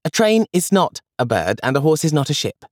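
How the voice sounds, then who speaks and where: A young girl with a high, sharp, lecturing tone who corrects everyone with exasperated certainty, as a man reads her part. She is a storybook figure, recorded dry and close. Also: very animated, fairly quick, dry recording. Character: prim, know-it-all older granddaughter.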